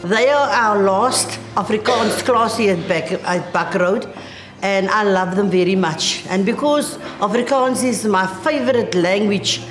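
An older woman with a South African accent, a retiring teacher, speaking over the phone to a radio host, excited and laughing. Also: mid-range voice, roomy sound, over music. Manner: excited, laughing, slow and drawn out. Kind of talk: surprised phone call. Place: staff room indoors.

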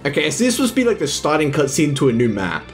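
A young man giving casual, excited, slangy live reaction commentary as a video host. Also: on the high side, quick pace, faint music behind. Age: young adult.

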